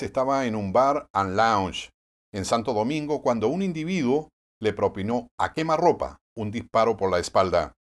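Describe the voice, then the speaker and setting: A man with a Puerto Rican accent reads a scripted year-in-review commentary. His pitch swings widely and his delivery is wry and pointed, with a sardonic edge. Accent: Puerto Rican Spanish. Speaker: news commentary host. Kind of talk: scripted news commentary narration.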